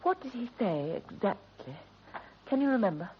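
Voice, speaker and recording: An actress playing a worried, shrewd and loyal British wife in a period radio drama, earnest, deliberate and probing. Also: female voice, mid-range voice, big pitch swings, close to an everyday voice. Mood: uneasy, probing. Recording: dull vintage radio recording, faint hiss.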